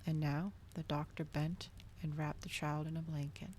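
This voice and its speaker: Soft whispery lady doing an asmr book reading, low voice, pretty flat delivery. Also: moderate pace, a little hiss or hum. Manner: soft, hushed, breathy, often slipping into whisper. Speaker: streamer reading a novel aloud.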